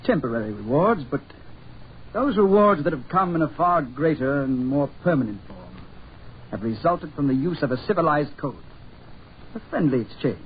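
An actor playing a stuffy, civilized diplomat, stiff and measured, in 1940s radio drama style. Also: male voice, a little high, lively intonation, close to an everyday voice. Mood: earnest disdain. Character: stuffy, civilized male diplomat, easily irked. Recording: muffled, noisy 1940s radio recording.

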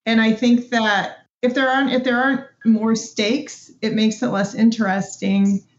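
Female panelist, higher register, casual conversational delivery, roomy echo on the recording. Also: level tone, normal speed. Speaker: panel participant. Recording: echoey room, dull lo-fi sound.

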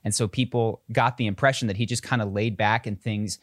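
A man with a fairly low voice chats casually on a podcast. He tells stories with eager asides. The sound is close and dry, with no room around it. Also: fairly even intonation, fairly bright tone, no room sound. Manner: enthusiastic storytelling, quick asides, laughs easily. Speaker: podcast co-host. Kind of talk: casual conversational chat.

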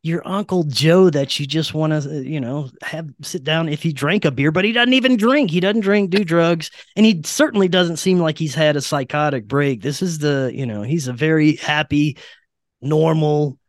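A man with a slightly high voice talks as a podcast guest in a dry, close recording. He tells his accounts in a casual, earnest way and swears freely. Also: normal speed, dry recording. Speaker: podcast guest, paranormal researcher and interviewer. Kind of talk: casual conversational storytelling.

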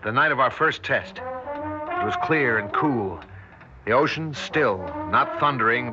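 A man's voice: medium pitch, natural voice. Troubled scientist played by a man, mid-range voice, dramatic and reflective, muffled noisy vintage radio play. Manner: dramatic, reflective, clipped phrases. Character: troubled male electronics scientist narrating his strange ordeal. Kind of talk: 1960s radio drama narration and dialogue.

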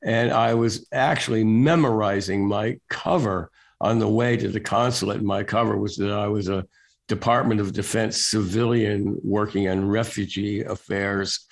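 An older man being interviewed as a guest, telling stories in a relaxed, wry way with lots of filler words. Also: fairly low pitch, moderate pace, creaky. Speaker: guest, national security reporter. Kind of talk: casual storytelling interview.

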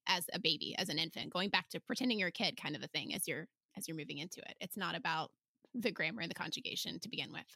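Female interview host, crisp bright tone, dry studio-like sound, conversational and encouraging. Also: on the low side, dry recording. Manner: agreeable, encouraging. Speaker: podcast host.

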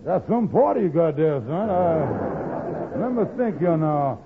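An actor playing a folksy, confident know-it-all schemer in an old-time radio comedy, with ungrammatical, assured explanations. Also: male voice, a little high, big pitch swings, slow, exaggerated voice. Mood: blustering know-it-all. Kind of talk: 1940s-50s radio comedy acting. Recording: tinny, noisy old-time radio recording.

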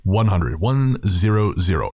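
Low-voiced man hosting a podcast. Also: big pitch swings, a little slow, a little mellow, no echo, studio quality. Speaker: podcast host. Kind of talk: casual podcast introduction.

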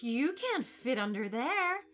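A woman voicing a small, excitable, confident female snake in a children's storybook, eager and perky. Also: high-pitched, slow, soft background music, full character voice. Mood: challenging.

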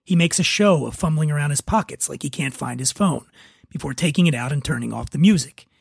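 A man's voice: fairly high pitch, expressive, brisk, gravelly, husky, no echo, clean studio sound. A man hosting a podcast, talking casually and narrating a script. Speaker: podcast host and writer.

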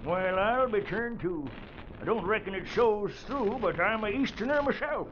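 An actor playing a cantankerous old American mule skinner in a 1940s radio western, with a folksy drawl and blustery rustic talk. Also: male voice, high voice, expressive, normal speed, fairly bright tone. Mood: gruff, laconic. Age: older. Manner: crotchety, blustery, rustic dialect. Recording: noisy, muffled 1940s radio recording.

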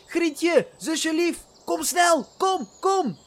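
A man's voice: very high voice, animated, drawn-out words, slight background noise, voice pushed up, heavily acted. A man voicing an eager, clever young boy in a storybook reading, in a raised childlike voice. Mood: excited calling.

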